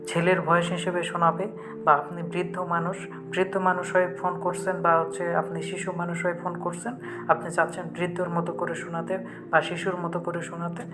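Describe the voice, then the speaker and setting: A man with a Bangladeshi accent narrates a step-by-step app tutorial at a quick clip. His delivery stays flat and instructional, with music clearly playing behind him. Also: high-pitched. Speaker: tech tutorial host. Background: background music.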